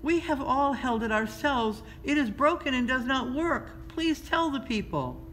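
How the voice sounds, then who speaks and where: Worried storybook animals, played by a woman: lifted pitch, earnest plea, noisy background. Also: expressive, a little slow, fairly bright tone. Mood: pleading. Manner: earnest, pleading. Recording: clearly noisy background ambience.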